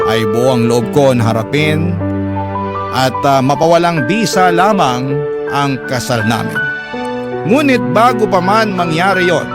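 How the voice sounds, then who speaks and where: A male actor playing a regretful, caring father, narrating his life story in a radio drama. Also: a little high. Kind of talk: dramatic first-person narration. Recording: loud music under the voice.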